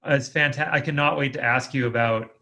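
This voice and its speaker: A man's voice: medium pitch, fairly even intonation, fairly quick, pushed and bright. A man hosting a casual podcast interview in an enthusiastic way.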